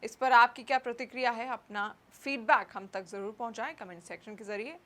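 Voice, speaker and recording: A woman's voice: fairly high pitch, a little fast, sharp tone. A woman presenting scripted news in a formal, emphatic delivery.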